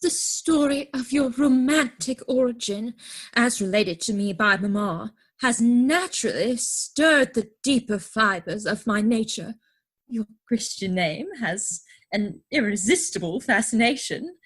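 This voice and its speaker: A woman acting a high-voiced, grand Victorian young lady. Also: lively intonation, unhurried, slightly rough. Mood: adoring. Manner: precise, haughty, theatrical declamation. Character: grand, self-assured, romantic young Victorian society lady. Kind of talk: stage comedy dramatic reading. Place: home, recorded over video call.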